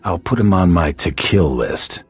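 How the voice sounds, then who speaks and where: A man playing a curt, menacing bounty hunter in a video game, with a gravelly rasp and dry sarcasm. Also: low-pitched, lively intonation, unhurried, no room sound, faint music behind, heavily acted. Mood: dry resolve. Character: gruff, callous male bounty hunter.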